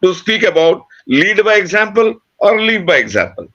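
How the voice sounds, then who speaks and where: A man's voice: sits high, big pitch swings, moderate pace, sharp tone, highs cut off. A man with an Indian accent, a native Bengali speaker, talking off the cuff as a panelist, emphatically repeating phrases and posing questions that he answers himself. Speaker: panelist on a talk show. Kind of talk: animated unscripted panel talk.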